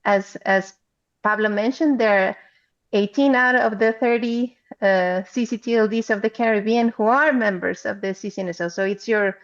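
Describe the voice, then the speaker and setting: A woman from Guatemala with a Guatemalan Spanish accent, presenting remotely at a conference in a halting, explanatory way. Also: mid-range voice. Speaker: council chair speaking as panelist. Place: remote, on a video call. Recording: slightly dull video-call audio.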